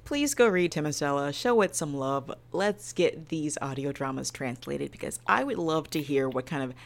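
Gravelly, low-voiced woman, bawdy podcast talk. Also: lively intonation, moderate pace. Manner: bawdy, joking. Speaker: podcast panelist making a recommendation. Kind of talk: casual impassioned plug.

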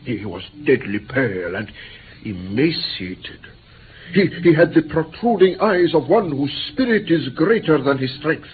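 An actor playing a formal, earnest and excitable male interpreter in an old British radio play. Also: fairly high pitch, measured pace. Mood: grave recounting. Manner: formal, earnest, flustered when alarmed. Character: courteous, excitable Greek male interpreter, easily indignant. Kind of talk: mid-20th-century British radio play acting. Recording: tinny, slightly noisy old radio recording.

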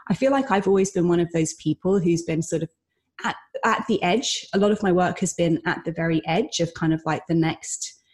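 A woman's voice: on the low side, fairly quick, darkish tone. A woman hosting a podcast interview, talking in a reflective, rambling way with many fillers and restarts. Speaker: podcast host. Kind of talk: conversational interview.

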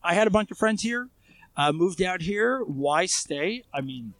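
A man's voice: sits high, expressive, a little slow, fairly bright tone, dry recording. A man in his thirties with an American accent, a podcast guest chatting casually and enthusiastically, leaning on "you know" a lot. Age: 30s. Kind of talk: casual interview chat. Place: outdoors in a residential neighborhood.

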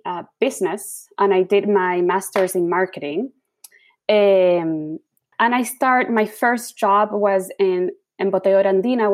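Woman guest with a Chilean accent, Spanish native, mid-range voice, earnest storyteller. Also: medium pitch. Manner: earnest, searching for words. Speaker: guest, design founder.

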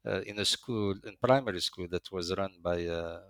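Older man from morocco, lowish voice, stops and restarts a lot, dry close sound. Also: a bit bright, dry recording. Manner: slow, halting, many uh fillers and restarts. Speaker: interviewee, engineering professor. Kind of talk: hesitant interview answers.

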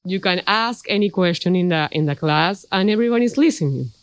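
A woman's voice: fairly low pitch, expressive, fairly quick, no echo. A woman from Peru, speaking carefully and a little hesitantly as a panelist. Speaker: MBA student panelist. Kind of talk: panel discussion.

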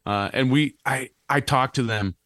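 A man in his thirties with a low, slightly raspy voice, chatting casually on a podcast as a guest, rambling and self-deprecating. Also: normal speed, dry recording, clean studio sound. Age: 30s. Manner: rambling, self-deprecating, animated when joking. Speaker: clown and musician guest.

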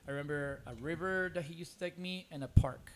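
A man in his forties from Costa Rica with a Cuban accent in English, a native Spanish speaker, chatting casually and haltingly as a podcast guest. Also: fairly high pitch, fairly even intonation, a little hiss or hum. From Costa Rica, born in Cuba. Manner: hesitant, rambling, many "you know"s. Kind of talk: casual conversational interview.

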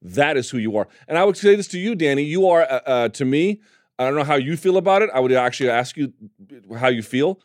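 An emphatic man hosting a sports talk show, leaning hard on his points in a middle-pitched voice. The sound is dry and close, with no room around it. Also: fast, dry recording. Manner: animated, emphatic, calls co-host dude. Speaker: podcast host. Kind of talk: casual MMA talk.

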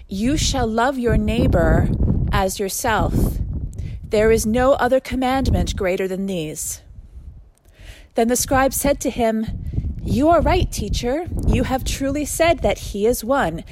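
A woman reading scripture aloud in a measured, deliberate way, recorded outdoors while walking along a street. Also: fairly high pitch, lively intonation. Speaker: scripture reader. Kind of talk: read-aloud scripture with spoken introduction. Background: street noise.

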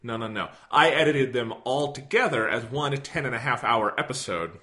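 Podcast co-host, male, a bit hoarse, bright forceful voice, rambling chatty delivery. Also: medium pitch, expressive, moderate pace. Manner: rambling, self-deprecating, many fillers. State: slightly hoarse. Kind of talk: casual podcast chat.

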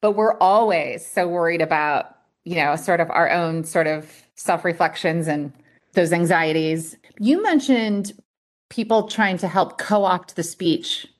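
A woman with a slightly low voice acts as interviewer. She talks conversationally, framing questions and reflections for a workplace discussion. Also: measured pace.

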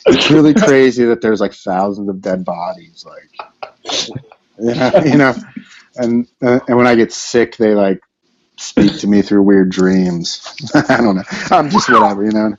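Rambling low-voiced man on a podcast. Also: a little slow, highs cut off, slight background noise. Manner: rambling, lots of fillers and restarts. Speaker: band member, vocalist and lyricist. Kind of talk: casual podcast interview.

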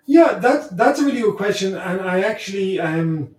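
A man's voice: high-pitched, expressive, moderate pace, a little mellow. A man who is a native English speaker, talking reflectively as an interview guest, hesitant and repeating words as he thinks. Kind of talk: reflective conversation. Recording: roomy echo, distant from the mic.

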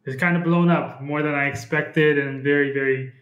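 A man's voice: fairly high pitch, steady tone, brisk, fairly bright tone, lo-fi sound. Hesitant young man from Ethiopia, roomy sound. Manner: hesitant, many fillers and restarts. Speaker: guest, comic book publisher. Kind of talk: casual interview. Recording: roomy echo.

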